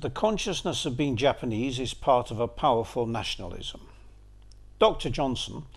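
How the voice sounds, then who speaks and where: A man's voice: mid-range voice, gravelly, husky. An older man giving an illustrated lecture, with dry, wry asides. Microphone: seen on camera briefly, then voice-over.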